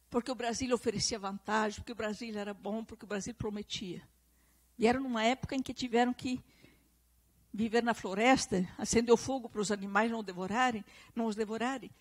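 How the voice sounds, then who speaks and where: A woman's voice: fairly high pitch, brisk, rough recording. A woman from southern Brazil with an Italian-descendant regional accent, giving an earnest public lecture to a live audience and online viewers, often repeating and restarting phrases for emphasis.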